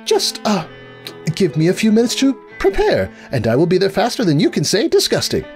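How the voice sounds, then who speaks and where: A man's voice: high-pitched, huge pitch swings, a little fast, a little mellow, faint music behind, pitched above the performer's own voice, full character voice. A man voicing a prissy, squeamish lady unicorn who is theatrically and queasily polite in a fussy cartoon manner. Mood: strained politeness. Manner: fussy, flustered, stammering politeness.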